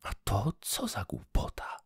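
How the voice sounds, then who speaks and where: A man's voice: measured pace, dry recording, studio quality, natural voice. Man whispering as a bossy old field mouse. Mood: scolding.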